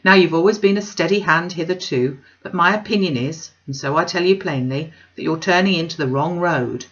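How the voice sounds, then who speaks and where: A woman's voice: on the low side, brisk, fairly bright tone, dull audio, close to an everyday voice. A woman voicing a pompous, self-satisfied wealthy man, emphatic and dismissive, in a storybook reading. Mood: scolding.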